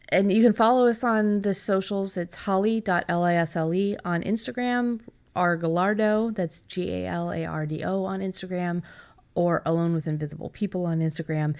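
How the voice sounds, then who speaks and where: A woman hosting a podcast in a casual, informal, rambling chat style. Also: on the low side. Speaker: podcast host.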